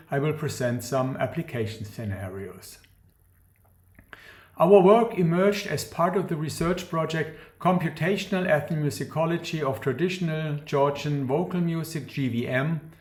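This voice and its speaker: A man gives a scripted academic lecture in a formal, measured, precise manner. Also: a little high. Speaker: academic researcher presenting a talk. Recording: roomy, echoing sound.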